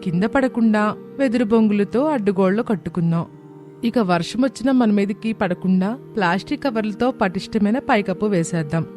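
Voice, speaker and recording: A man's voice: high-pitched, brisk, darkish tone, lightly acted. A man voicing a brave young daughter-in-law and mother in a cartoon moral story, determined and reassuring. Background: background music.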